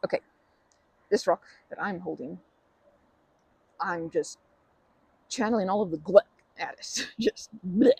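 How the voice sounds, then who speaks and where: A woman acts out a timid, caring young woman offering comfort in an audio roleplay. Her voice is full of gravelly fry and slightly husky, recorded dry with hardly any room sound. She speaks haltingly, tripping over her words and apologizing as she consoles. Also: lowish voice, warm tone, no room sound, heavily acted. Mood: eager, encouraging. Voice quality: creaky. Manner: hesitant, stammering, apologetic, halting starts. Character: timid, kind young woman, gently comforting a park acquaintance. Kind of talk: ASMR roleplay, intimate comforting talk.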